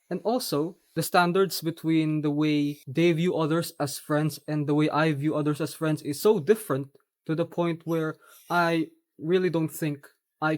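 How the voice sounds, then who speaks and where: A young man from the Philippines with a Filipino accent, whose first language is Tagalog, talking earnestly in a personal vlog with measured, slightly formal phrasing. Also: fairly high pitch. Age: young adult. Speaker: vlogger. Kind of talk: personal reflective monologue.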